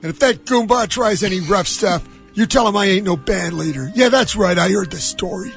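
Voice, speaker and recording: High-pitched, blustering movie mogul. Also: male voice, faint music behind. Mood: angry, threatening. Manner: emphatic, drawn-out ranting. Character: blustering, indignant middle-aged movie mogul with a grudge. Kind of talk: comic impression of a film scene.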